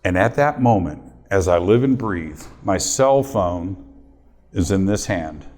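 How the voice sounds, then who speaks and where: A man's voice: low-pitched, lively intonation, unhurried, a little mellow. A middle-aged man, a radio host, telling a story to a live audience and acting out his own quotes. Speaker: radio host giving a talk.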